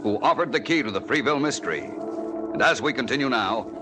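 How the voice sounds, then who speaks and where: A man's voice: mid-range voice, lively intonation, fast. A man narrating a 1940s radio serial as announcer, emphatic, suspenseful and theatrical. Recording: old radio broadcast with music behind.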